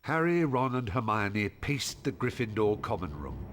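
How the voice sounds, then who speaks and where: Animated low-voiced storyteller. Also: male voice, measured pace, a little hiss or hum. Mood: dramatic storytelling. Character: grave male storyteller narrating a wizard adventure. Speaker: narrator. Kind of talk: scripted storybook narration in a video game. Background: music.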